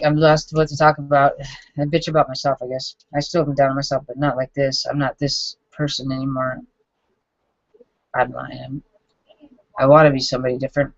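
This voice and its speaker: A man in his forties reading his own journal entries aloud, halting and self-critical, with frequent restarts. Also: fairly high pitch, dry recording. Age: 40s. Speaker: vlogger. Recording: dull lo-fi dry recording.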